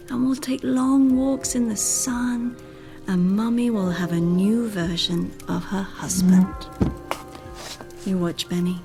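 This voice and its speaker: An actress playing a volatile, scornful, sarcastic wife in a dramatic radio play. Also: female voice, medium pitch, gravelly, a little mellow. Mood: hushed, scheming. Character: volatile, scornful wife hopping between dimensions. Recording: music under the voice.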